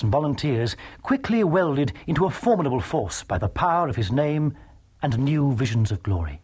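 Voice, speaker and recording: A man giving scripted, dramatic historical narration in a measured, emphatic manner with a husky voice. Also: on the high side, a little mellow.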